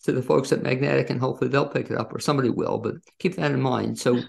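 A male interviewer with a heavily creaky, gravelly voice. He talks quickly in a casual, conversational way as he puts questions to a guest. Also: mid-range voice. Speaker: interview host.

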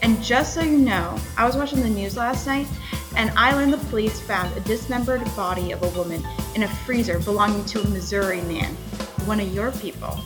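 A sardonic stand-up comedian played by a woman in an audio drama. She tells stories conversationally in a medium-pitched voice, with music clearly audible behind. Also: a little fast, natural voice. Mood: wry, storytelling. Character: wry female stand-up comedian telling family anecdotes. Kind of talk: stand-up storytelling.

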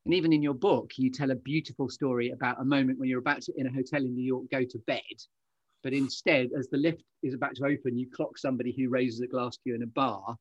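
Guy hosting a chatty podcast, gushy and rambly, bit husky, slightly dull audio. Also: medium pitch, steady tone, fast talker, no room sound. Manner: effusive, wordy, meandering asides. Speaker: podcast host. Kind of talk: chatty, effusive podcast interview.

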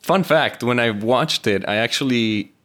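A man's voice: medium pitch, a little slow, fairly bright tone. A man from Venezuela, a native Spanish speaker with a Venezuelan accent, co-hosting a casual podcast over a remote call and laughing easily. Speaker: podcast co-host. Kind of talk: casual chat.

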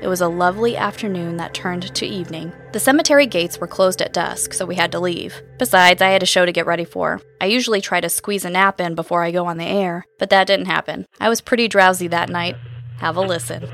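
Low, creaky-voiced supernatural radio host. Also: female voice, steady tone, fairly quick, fairly bright tone, faint music behind. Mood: reflective. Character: wistful woman supernatural radio host telling her story. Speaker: radio show host and narrator. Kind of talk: scripted first-person narration, audio drama.

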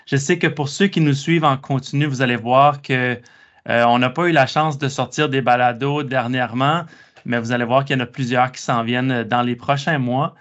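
A man's voice: mid-range voice, steady tone, normal speed, a bit bright. A man hosting a university podcast, friendly and hesitant, with many fillers. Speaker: podcast host. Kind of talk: casual interview. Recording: dull lo-fi sound.

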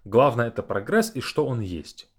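A man speaking in a reflective, conversational way, as a teacher sharing his views. His mid-pitched voice moves a lot, climbing and dipping with emphasis, and he takes his time. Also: big pitch swings, normal speed. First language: Russian. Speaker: Russian teacher and podcast host. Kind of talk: casual reflective monologue.

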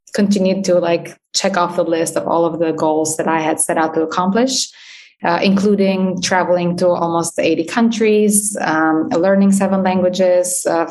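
A woman in her thirties, raised in Albania, speaking as a guest in a podcast interview, with frequent fillers and light laughs. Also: on the low side. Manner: warm, many fillers, laughs while talking. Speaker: guest, startup founder. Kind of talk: interview conversation.

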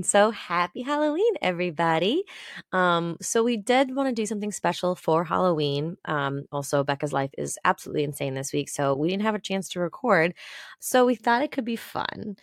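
A woman podcast host with a low-pitched, noticeably creaky voice chats on her own. She rambles casually and gushes with emphasis, and the recording is close and dry. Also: dry recording. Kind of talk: casual solo podcast introduction.

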